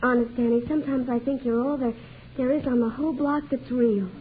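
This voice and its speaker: An actress playing a tired, tender-hearted eighteen-year-old woman who speaks softly and earnestly, in a 1940s radio drama. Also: fairly high pitch, steady tone, fairly quick, narrow, tinny audio, slight background noise, lightly acted. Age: young adult. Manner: soft, earnest, pleading.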